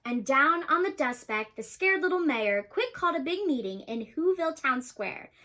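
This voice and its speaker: A young woman with a high voice reads a rhyming children's book aloud. She gives each character its own voice, and her tone is bright and lively. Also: moderate pace. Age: young adult. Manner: expressive storybook reading, voices for characters. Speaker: student reader.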